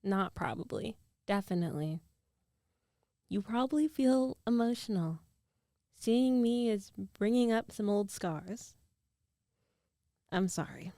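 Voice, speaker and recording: A woman playing a concerned, teasing young ex-girlfriend in an intimate ASMR roleplay, speaking softly and haltingly into a close microphone. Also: medium pitch, expressive, no echo. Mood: apologetic, worried.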